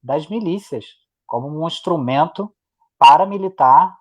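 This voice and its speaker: A man's voice: fairly high pitch, measured pace. A man, co-hosting a live online discussion and driving his points home by repeating them. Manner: emphatic, repeating points for weight. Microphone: video call.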